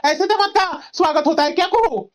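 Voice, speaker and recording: A woman's voice: very high voice, brisk. A woman voicing a pompous, entitled, complaining young son-in-law in a cartoon family drama. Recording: dull, lo-fi sound.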